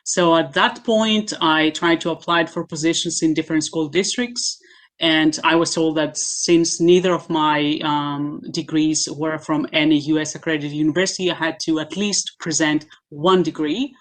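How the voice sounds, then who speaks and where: A woman from Greece, a guest being interviewed over a video call, answering in a measured way with frequent hesitations. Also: deep voice, fairly even intonation. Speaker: guest, assistant professor.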